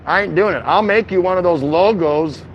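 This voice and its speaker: A man's voice: sits high, normal speed, highs cut off. A middle-aged man vlogging live on a walk, chatting casually in an easygoing, rambling, slangy way to his viewers. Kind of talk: casual livestream walking-tour chat. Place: outdoors, walking through a city shopping district. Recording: outdoor city noise, passing traffic.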